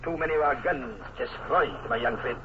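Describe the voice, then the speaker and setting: A man's voice: mid-range voice, natural voice. A man playing a grimly logical Prussian colonel. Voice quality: precise, formal, deliberate phrasing. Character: stern Prussian colonel, coldly logical, fatalistic. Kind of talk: 1950s radio drama acting. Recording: noisy lo-fi old radio recording.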